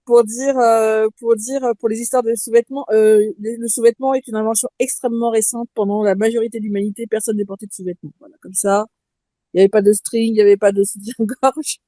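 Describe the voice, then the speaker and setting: Hesitant woman with a mellow voice. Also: a little high, fairly even intonation, fast, dry recording. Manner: hesitant, many "euh" fillers, wry. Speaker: participant. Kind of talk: casual live audio-room discussion.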